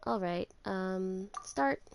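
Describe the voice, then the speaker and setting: A woman doing casual live gameplay commentary as a game streamer, talking haltingly and thinking aloud to herself. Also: mid-range voice, vocal fry, a little hiss or hum. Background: video game music.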